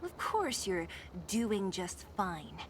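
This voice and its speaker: An actress playing a sharp, sceptical young woman in a video game, with a dry, teasing manner. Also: mid-range voice, sing-song, slow pace, slight background noise.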